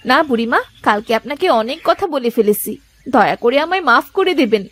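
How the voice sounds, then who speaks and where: A caring, sharp-tongued village housewife from a cartoon moral tale. Her voice is high and very lively in pitch. She delivers every line like expressive domestic drama. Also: fairly quick. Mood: caring, friendly. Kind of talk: Bengali moral-story cartoon dubbing.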